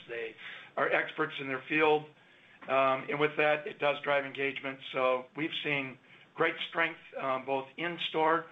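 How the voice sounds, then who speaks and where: A man, a company executive, answering analyst questions in a measured, explanatory way on an earnings call. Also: on the high side. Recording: phone-line audio.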